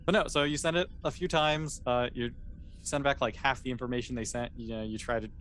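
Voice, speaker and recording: A man's voice: mid-range voice, fairly even intonation, brisk, fairly bright tone, a little hiss or hum. A man running a tabletop role-playing game, narrating off the cuff in a conversational, rambling way. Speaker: game master.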